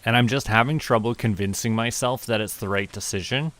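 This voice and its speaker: A young man from Canada giving relaxed, musing commentary as he plays, often talking to himself. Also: medium pitch. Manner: slow, musing, talks to himself while playing. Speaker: VTuber streamer.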